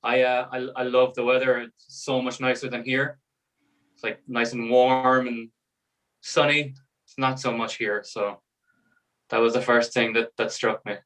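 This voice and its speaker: A man's voice: mid-range voice, level tone, measured pace, a bit bright, recorded from a distance, rough recording. A man from Ireland with an Irish accent, chatting easily as a guest and laughing often. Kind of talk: casual video call chat.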